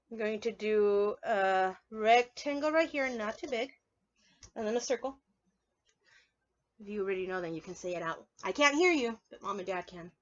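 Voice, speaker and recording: A woman's voice: on the high side, fairly bright tone, dull audio. A woman preschool teacher reading aloud and leading a drawing lesson for young children, playful and encouraging, often asking her listeners questions.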